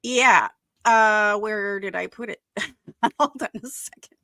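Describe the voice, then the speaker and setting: A woman from Wisconsin with a Wisconsin accent, co-hosting a casual football chat, chatty and quick to laugh. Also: mid-range voice, sharp tone, no echo. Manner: chatty, laughs often, many fillers. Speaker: podcast co-host.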